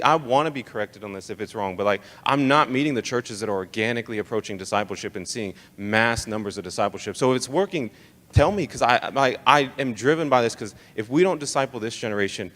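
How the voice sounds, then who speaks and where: A man's voice: medium pitch, fairly bright tone. Emphatic young American man in a reverberant hall. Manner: emphatic, conversational, slangy, rhetorical questions. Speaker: speaker leading a church leadership training session. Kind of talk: informal live talk to a room of church leaders. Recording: live talk in a reverberant hall.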